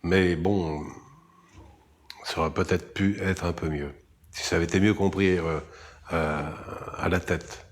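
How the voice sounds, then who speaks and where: A man's voice: low voice, fairly even intonation, unhurried, pauses often. A man being interviewed, recalling past work in a hesitant way, searching for words. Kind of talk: recollections in an interview.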